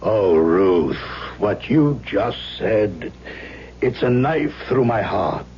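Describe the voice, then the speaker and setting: An actor playing an emotional, self-reproaching older brother in an old-time radio drama, plaintive and melodramatic. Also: male voice, fairly low pitch, expressive, takes it slowly, slightly rough, heavily acted. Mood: wounded. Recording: muffled, hissy old radio drama recording.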